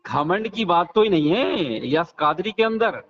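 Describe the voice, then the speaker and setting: A man's voice: expressive, normal speed. A man arguing in a phone conversation, emphatic and repetitive, often breaking in with agreement as he defends his side. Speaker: debater defending a religious organisation. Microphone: phone call. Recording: muffled low-fi phone call recording.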